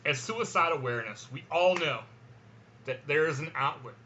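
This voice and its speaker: A man's voice: fairly high pitch, lively intonation, moderate pace, bright, forceful tone. A man hosting a podcast, telling a long, crude, rambling story with frequent laughs. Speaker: podcast host. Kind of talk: casual storytelling monologue. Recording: slightly dull, faint noise.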